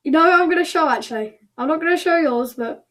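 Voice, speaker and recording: High-voiced woman hosting, chatty and off-the-cuff. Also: fast, a bit bright. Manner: chatty, off-the-cuff, thinking aloud. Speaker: host of an online object show. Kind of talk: casual show commentary and results announcing.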